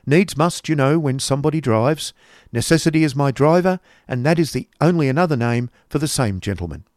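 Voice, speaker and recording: A man's voice: a little high, animated, brisk, darkish tone, no echo, clean studio sound, pitched above the performer's own voice, lightly acted. Man voicing an earnest polite young man. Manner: courteous, brave, mildly wry.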